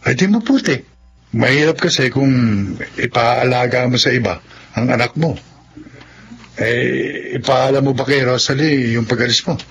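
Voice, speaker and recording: A man's voice: medium pitch, moderate pace, long pauses, a bit bright, lightly acted. A man playing a curious, concerned friend in a Filipino radio drama, plain-spoken and questioning. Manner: questioning, hesitant starts. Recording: faint background noise.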